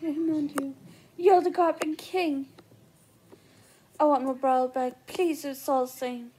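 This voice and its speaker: A woman's voice: sits high, fairly even intonation, unhurried, mellow, slight background noise. A young woman in an amateur fandub, playing a pleading, defiant teenage girl searching for her brother. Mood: pleading, upset. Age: young adult. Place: small living room, indoors.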